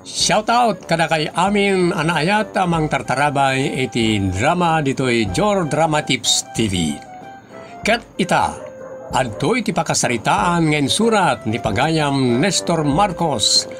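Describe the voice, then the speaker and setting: Male host, high voice, sing-song announcing, light gravel, faint music behind. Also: lively intonation, takes it slowly, a bit bright. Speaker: narrator and channel host. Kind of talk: scripted drama introduction.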